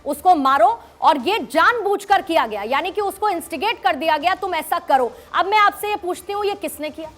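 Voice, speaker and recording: Very high-pitched woman pressing as debate host. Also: animated, normal speed, hardly any pauses, fairly bright tone. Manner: insistent, pressing, repeats questions. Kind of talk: forceful live TV debate moderation.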